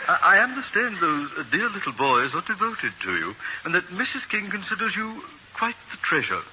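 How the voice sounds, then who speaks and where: An actor playing a fussy, fawning small-town gossip, hesitant and ingratiating, in 1940s radio style. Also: male voice, sits high, sing-song, normal speed, exaggerated voice. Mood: fawning, flustered. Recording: bright, noisy old radio recording.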